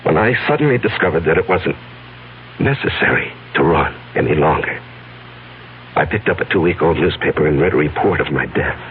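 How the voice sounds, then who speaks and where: An actor playing an anguished fugitive confessing to his fiancée in a 1970s radio drama, halting and earnest with heavy pauses. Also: extremely low pitch, lively intonation. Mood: grave, confessing. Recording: narrow-band vintage radio broadcast, faint hiss.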